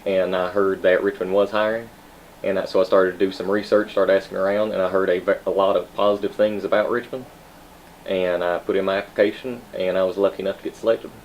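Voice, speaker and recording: A man's voice: low voice, little pitch movement, quick pace. A man, a new police officer giving conversational answers as an interview guest. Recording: dull audio, background noise.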